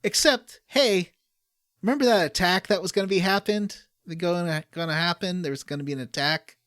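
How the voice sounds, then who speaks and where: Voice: mid-range voice, fairly quick, fairly bright tone, no room sound, studio quality. A podcast co-host giving a casual, comedic recap of a video game story and acting out the characters' lines. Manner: acts out characters' lines in quoted voices.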